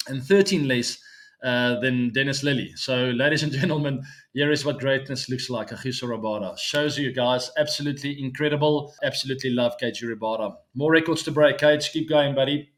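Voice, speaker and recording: A man's voice: medium pitch, normal speed. Chatty man in his forties, Afrikaans native. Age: 40s. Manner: chatty, many ums and uhs, laughs easily. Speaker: cricket show host. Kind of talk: casual solo chat to viewers.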